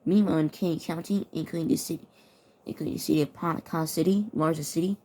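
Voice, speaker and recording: A woman's voice: deep voice, steady tone, fast talker, dark tone. A woman reading mock severe weather warnings aloud with her own commentary, often stumbling over and repeating words. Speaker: mock emergency alert narrator.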